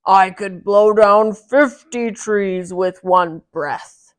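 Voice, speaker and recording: A woman voicing a huge male storybook giant, boastful and scornful, with a slow, booming delivery. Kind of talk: children's storybook character voice.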